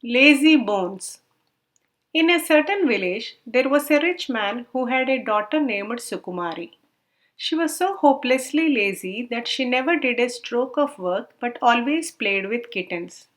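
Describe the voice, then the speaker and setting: A woman storyteller reading scripted tales aloud in an expressive manner. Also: a little high, measured pace.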